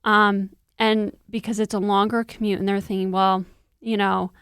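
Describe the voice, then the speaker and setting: Clear-voiced woman reporter explaining, close dry sound. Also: mid-range voice, level tone, moderate pace, fairly bright tone, dry recording. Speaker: news reporter, interview guest. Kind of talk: conversational explanatory news discussion.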